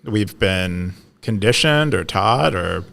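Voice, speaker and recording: A man's voice: fairly low pitch, lively intonation, takes it slowly, creaky, a bit bright. A man from California in a casual talk, explaining an idea with many fillers, restarts and hesitations. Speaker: podcast co-host. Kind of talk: casual conversational discussion.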